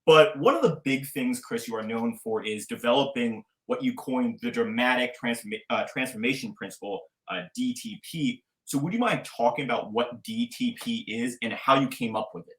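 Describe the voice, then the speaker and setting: A man's voice: medium pitch, lively intonation, moderate pace, hardly any pauses, roomy sound, rough recording. A young man hosting a podcast, asking questions eagerly with hype-style introductions. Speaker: podcast host. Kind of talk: casual interview talk.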